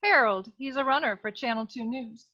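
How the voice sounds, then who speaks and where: Actress as melodramatic young noir damsel, fairly high voice, earnest comic radio delivery, slightly dull lo-fi sound. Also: female voice, normal speed, lightly acted. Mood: matter-of-fact. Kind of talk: humorous noir radio serial acting.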